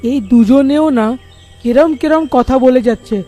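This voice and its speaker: A naive young cartoon man, acted by a woman, curious and sceptical. The voice is high yet mellow, with an exclamatory delivery. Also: moderate pace, faint music behind, natural voice. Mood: puzzled. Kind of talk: cartoon dubbing.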